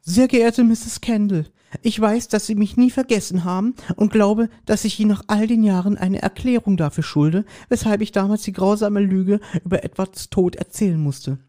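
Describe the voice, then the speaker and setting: Man putting on a high-pitched formal woman's voice. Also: mellow, close to an everyday voice. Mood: formal, composed. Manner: measured, formal letter reading. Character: polite formal woman reading a threatening letter.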